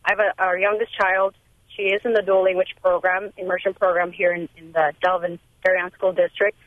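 A woman's voice: fairly low pitch, little pitch movement, fairly quick, no echo. Hesitant woman caller on a phone line. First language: Spanish. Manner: hesitant, many ums.

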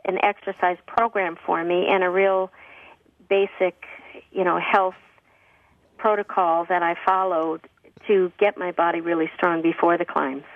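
A woman with a husky, slightly low voice speaks in earnest, plain-spoken answers, often restarting her sentences. She comes through a tinny phone line and sounds distant from the mic, with a dry sound. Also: level tone, measured pace. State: husky from a cough. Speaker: guest on a call-in radio show. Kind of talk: relaxed conversational interview answers.

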